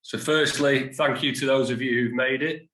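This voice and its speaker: A man's voice: medium pitch, fairly even intonation, normal speed, sharp tone, reverberant, low-quality recording. A man presenting a business webinar, self-deprecating and hesitant with frequent fillers. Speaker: insolvency practitioner presenting a webinar.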